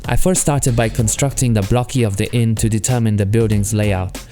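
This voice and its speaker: A man with a French accent, a solo game developer narrating his monthly progress in a calm, earnest, scripted way. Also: lowish voice, normal speed, faint music behind. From France.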